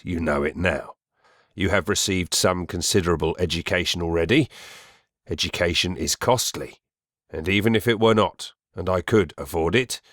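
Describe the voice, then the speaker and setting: A male actor plays a cold, severe stepfather in an audiobook drama. His voice is low and very dry, and he speaks with grave, pompous deliberation, as one used to being obeyed. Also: no echo. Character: stern, cold middle-aged stepfather, severe and domineering.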